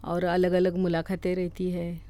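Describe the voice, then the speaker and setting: A woman from Maharashtra with a Marathi accent, giving casual, somewhat halting answers as an interview guest. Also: fairly low pitch, completely flat delivery, mellow, no echo. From Maharashtra, India.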